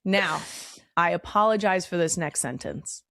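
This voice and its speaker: A woman in her thirties hosting a casual interview, playful and teasing, with quick asides. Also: on the low side, creaky, fairly bright tone, no echo, clean studio sound. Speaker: podcast host.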